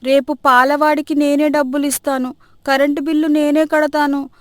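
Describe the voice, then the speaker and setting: A woman's voice: high-pitched, little pitch movement, moderate pace, no room sound, studio quality, pitched above the performer's own voice, close to an everyday voice. A woman voicing a cheeky, mischievous young wife and daughter-in-law in a comic story, teasing and exclamatory. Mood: teasing, sly.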